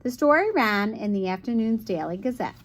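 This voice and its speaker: A woman's voice: a little high, animated, fairly quick, noisy background. A woman teacher reading a children's picture book aloud expressively, with chatty asides to young listeners.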